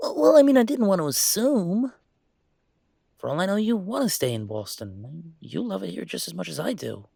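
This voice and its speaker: Male actor as young werewolf boyfriend, creaky voice, dry intimate recording, rambling, hesitant, affectionate, audio roleplay. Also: high voice, sing-song, mellow, no echo, full character voice. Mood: flustered, tender. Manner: halting, rambling, conversational. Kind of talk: intimate audio roleplay acting, one-sided conversation.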